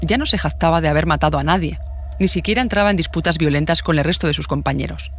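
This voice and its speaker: A man's voice: a little high, fast, a bit bright. A man from Spain with a Spanish accent narrating a scripted true-crime podcast.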